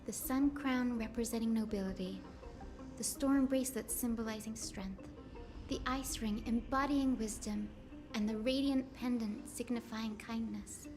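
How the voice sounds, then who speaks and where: High, gentle young heroine voiced by a woman. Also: fairly even intonation, measured pace, close to an everyday voice. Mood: nostalgic. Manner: earnest, tender, poetic. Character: gentle, hopeful young heroine of a sky people. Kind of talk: dramatic video game voice acting. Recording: music under the voice.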